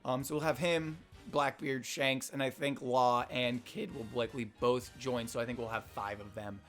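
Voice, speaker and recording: A young man with a slightly hoarse voice, rambling casually through a manga chapter review with lots of fillers and restarts. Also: medium pitch, steady tone, normal speed, fairly bright tone, soft background music. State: slightly hoarse, losing his voice. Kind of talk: casual unscripted commentary.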